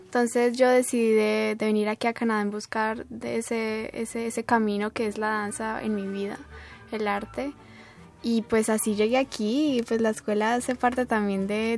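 A young woman from Colombia, a ballet dancer being interviewed on the radio, who talks haltingly and often repeats her words. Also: fairly high pitch, vocal fry, a little mellow. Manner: hesitant, repeats words while explaining.

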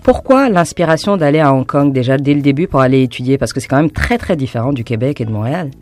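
A male radio host who asks measured, clear questions in an interview. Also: medium pitch, animated, darkish tone, dry recording. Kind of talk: radio interview and scripted intro. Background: music under intro.

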